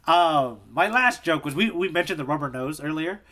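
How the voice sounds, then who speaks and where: A man co-hosting a podcast in casual, comedic chat, telling things in an animated, jokey, rambling way. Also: fairly high pitch, normal speed. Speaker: podcast co-host.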